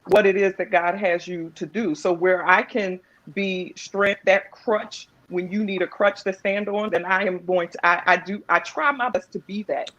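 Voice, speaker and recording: A woman's voice: on the low side, a little hiss or hum. Earnest woman, dull lo-fi call audio. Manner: earnest and encouraging, with frequent restarts. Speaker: panelist. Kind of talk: casual panel discussion.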